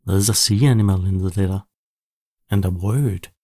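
A man narrating a children's bedtime story in a measured, animated storytelling delivery. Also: deep voice, no echo, studio quality.

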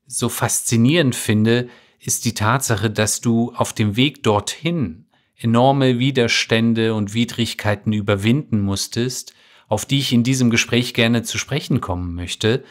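A man hosting a podcast, reading a scripted intro then interviewing his guest in a polite, formal, carefully phrased way. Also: medium pitch. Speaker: podcast host.

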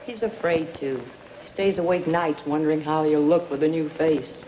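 An actress playing a brassy, hard-boiled gangster's moll, blunt and needling, in a 1950s crime film. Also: female voice, deep voice, fairly even intonation, moderate pace, slight background noise, lightly acted. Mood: dry. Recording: dull old film soundtrack with music.

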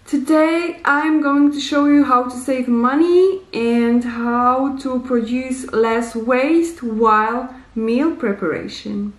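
A woman in her thirties hosting a casual how-to vlog, with a fairly high voice and an unhurried pace. She gives simple, deliberate advice in slightly non-native English. The sound is roomy, from a small bare room indoors. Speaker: vlogger, host. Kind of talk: casual instructional vlog to camera.

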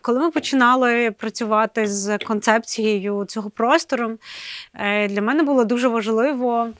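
Thoughtful woman with a fairly high voice. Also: normal speed, no room sound, studio quality. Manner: thoughtful, hesitant, many filler sounds. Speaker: arts center program director. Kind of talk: interview answers. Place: rooftop outdoors, then indoor hall.

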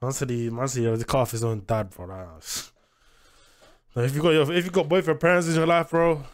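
A man's voice: medium pitch, steady tone, fairly quick. A young adult man giving casual, slangy reaction commentary as the host of a music reaction video. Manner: slangy, street-style talk. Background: hip hop music playing.

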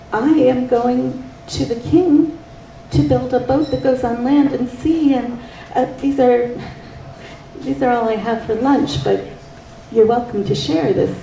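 A woman's voice: fairly high pitch, slow, gravelly, long pauses, warm tone, slight background noise, voice pushed up. A woman storyteller voicing a kind, eager young boy who talks politely and hesitantly. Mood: earnest. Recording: roomy distant mic, faint ambience.

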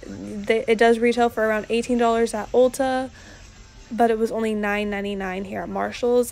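A young woman narrating a casual shopping haul, chatty and relaxed, with lots of ums and likes. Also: mid-range voice. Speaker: vlogger.

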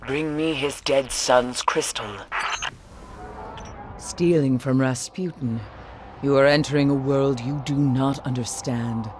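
A woman playing a grim, haunted mystic in a video game, with a solemn, ominous and deliberate delivery. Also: rumbling low, steady tone, noisy background. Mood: stern, urgent. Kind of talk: dramatic video game dialogue.

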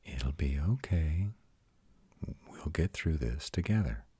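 A man voicing a tender, protective male vampire in an intimate, hushed audio roleplay, soothing and husky. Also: lively intonation, unhurried, no room sound, heavily acted. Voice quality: very deep, husky, often breathy whispering. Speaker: voice actor.

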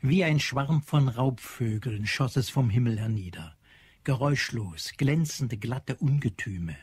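A man's voice: medium pitch, a little mellow, slightly rough, no room sound. A man narrating a radio drama in a dramatic, literary, scripted style.

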